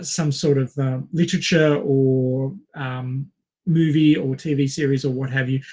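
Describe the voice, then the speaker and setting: A man co-hosting a casual film discussion, explaining things in a wordy, halting way with tangents. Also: fairly high pitch, a little mellow. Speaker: podcast co-host.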